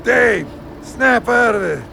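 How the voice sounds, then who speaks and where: A man's voice: extremely high pitch, lively intonation, slow, creaky, background noise, heavily acted. A man playing a worried peacemaker in an audio drama, urgent and pleading as he tries to stop a fight. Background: music and vehicle sounds.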